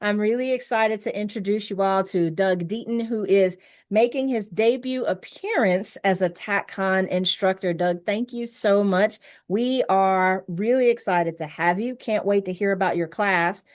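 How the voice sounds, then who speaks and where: A woman's voice: medium pitch, a little slow. A woman hosting an interview show, an attorney, speaking in an upbeat, enthusiastic way. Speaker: host, attorney.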